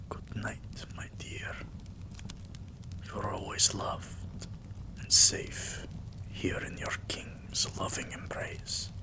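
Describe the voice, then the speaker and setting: A devoted vampire king, played by a man murmuring to his beloved in an intimate ASMR roleplay. The voice is very low, slow and gravelly, with breathy affection in every phrase. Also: exaggerated voice. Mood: soothing, drowsy. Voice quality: breathy, often slipping into whisper. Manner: hushed, slow, soothing, affectionate.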